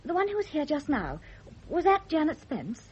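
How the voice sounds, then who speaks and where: An actress playing a girlish, impulsive young British mistress, besotted and jealous, with a breathy voice, in radio drama style. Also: high voice, huge pitch swings, normal speed, darkish tone. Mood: girlish, curious. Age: young adult. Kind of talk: 1970s radio drama acting. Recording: muffled vintage radio drama recording.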